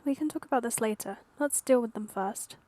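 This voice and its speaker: A woman with a fairly high voice gives casual gameplay commentary. She is chatty and informal, narrating her choices aloud, with faint noise and clicks behind her. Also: brisk, darkish tone. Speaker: video game streamer.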